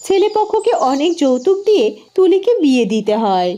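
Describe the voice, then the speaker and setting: A woman's voice: high-pitched, very animated, brisk, mellow. A woman narrating a children's fairy tale as a scripted storyteller.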